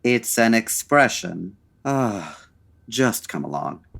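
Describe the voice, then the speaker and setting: An actor playing a suave, wry 1940s gentleman detective in a comedic radio drama, with dry, urbane and formal delivery. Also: on the low side, big pitch swings, slow pace, vocal fry, heavily acted. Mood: wry, purposeful. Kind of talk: comedic old-time radio drama acting.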